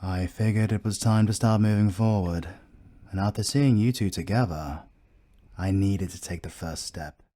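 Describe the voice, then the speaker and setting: A British man playing a proud, courteous army captain in a fantasy audio roleplay, speaking formally and with measured care. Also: fairly low pitch, mellow, natural voice. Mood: earnest, wistful. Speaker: voice actor.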